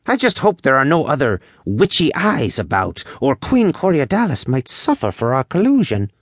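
A man voicing a tiny, proud male elf in a high-pitched storybook voice, with grudging and old-fashioned formal speech. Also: wildly swooping pitch, normal speed, husky, dry recording, clean studio sound, heavily acted. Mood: grim. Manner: grudging, archaic formal phrasing. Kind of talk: audiobook character voice.

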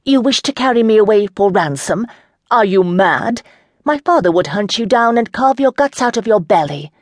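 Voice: mid-range voice, animated, moderate pace, no echo, studio quality, voice pushed up, lightly acted. A reader voicing a proud young noblewoman who answers with scorn and defiance. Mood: defiant. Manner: scornful, raised and forceful.

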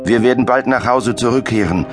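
A man's voice: mid-range voice, fairly quick, over music, pitched above the performer's own voice, close to an everyday voice. A man voicing a soldier captain who barks orders. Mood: reassuring. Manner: barking orders.

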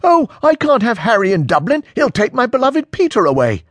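A man's voice: extremely high pitch, big pitch swings, a little fast, fairly bright tone, dry recording, pitched above the performer's own voice, exaggerated voice. A man voicing an angry, defensive male character in an audiobook, mocking and aggrieved. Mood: mocking, menacing. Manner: menacing, mocking, indignant.

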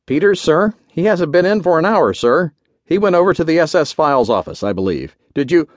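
A man's voice: fairly high pitch, very animated, a little fast, no room sound, pitched above the performer's own voice. A man voicing a frightened, stammering young military orderly in a pulp adventure story, eager to please. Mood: nervous, fearful.

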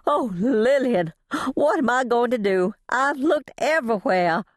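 A woman's voice: expressive, moderate pace, no echo, studio quality, natural voice. A woman voicing a frantic, proper older lady in an audiobook, breathless, exclaiming and indignant. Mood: panicked. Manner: shrill, breathless wailing and exclaiming.

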